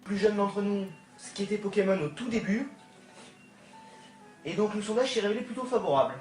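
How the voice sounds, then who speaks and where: A man's voice: high-pitched, a little fast, darkish tone, far from the mic. A young man narrating an early gaming commentary video, hesitant and full of fillers, recorded on a phone with no microphone. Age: young adult. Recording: old roomy recording with music.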